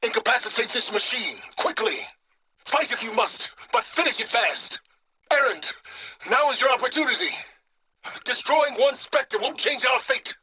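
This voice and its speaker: An actor playing a cold, calculating, aloof scholar in a video game, speaking formally with measured, condescending, sardonic phrasing. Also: male voice, very high-pitched, lively intonation, bright, forceful tone, husky, full character voice, rough recording. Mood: urgent combat shouting. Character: cold, calculating, aloof male scholar, middle-aged or older. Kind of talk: scripted video game voice acting.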